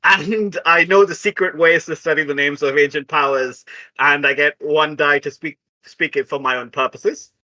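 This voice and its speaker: Bright, sharp-voiced man thinking aloud at a game table. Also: fairly high pitch, steady tone, normal speed, rough recording. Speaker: player in a tabletop roleplaying game. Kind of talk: casual game-table talk.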